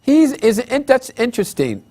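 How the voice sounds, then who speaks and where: A middle-aged man hosting a call-in talk show from a TV studio, calmly affirming callers and asking plain questions. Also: very high-pitched, moderate pace.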